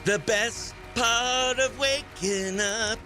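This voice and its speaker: A man's voice: extremely high pitch, drawn-out words, bright, forceful tone, faint music behind. A man hosting a live morning radio show, chatting exuberantly and slangily as he runs giveaways and phone-in games.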